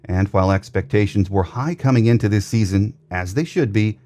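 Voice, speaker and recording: A man hosting a sports podcast, delivering a scripted commentary monologue in a measured, orderly way. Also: on the low side, warm tone. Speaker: podcast host.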